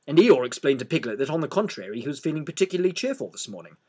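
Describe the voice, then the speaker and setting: Lively, slightly husky male storyteller. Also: on the high side, very fast. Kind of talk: expressive children's story narration.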